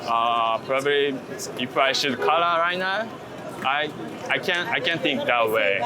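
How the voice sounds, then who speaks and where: A man talks about his photography in hesitant, second-language English, pausing and restarting often. He's outdoors on a city street with traffic noise plainly audible. His pitch sits in an ordinary middle range. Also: lively intonation. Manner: halting English with many fillers and restarts. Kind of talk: casual walking interview.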